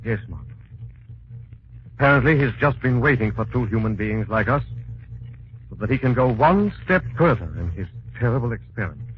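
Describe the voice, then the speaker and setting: An actor playing a resolute, suave amateur detective in a 1940s radio drama, with a clipped, earnest, dramatic delivery. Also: male voice, medium pitch, animated, moderate pace, pauses often, lightly acted. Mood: grave. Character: resolute, suave amateur detective, grown man, grave and urgent. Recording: muffled, hissy 1940s radio recording.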